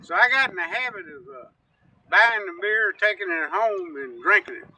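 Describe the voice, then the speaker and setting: Animated high-voiced storyteller. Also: male voice, moderate pace, creaky, recorded from a distance, slight background noise, rough recording. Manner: rambling, folksy, animated storyteller. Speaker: storytelling uncle, guest. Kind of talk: casual storytelling chat. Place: outdoors on a riverbank.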